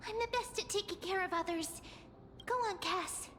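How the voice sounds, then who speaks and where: A woman's voice: extremely high pitch, normal speed, fairly bright tone, slight background noise. A woman voicing a tiny, cute, caring fairy-like companion in a video game, with a childlike, earnest delivery. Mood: reassuring. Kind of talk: cartoonish video game voice acting. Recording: game music behind the voice.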